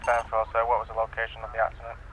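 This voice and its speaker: A man's voice: lowish voice, completely flat delivery, very fast, narrow, tinny audio, a little hiss or hum. A man roleplaying a police officer on the radio, clipped and deferential.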